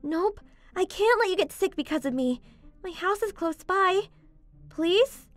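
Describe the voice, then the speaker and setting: A woman's voice: very high voice, lively intonation, a little slow, dry recording, faint music behind. A woman playing a sweet, caring and easily flustered teenage schoolgirl in a manga dub, cutesy and stammering. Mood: caring, insistent. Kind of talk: manga dub voice acting, scripted.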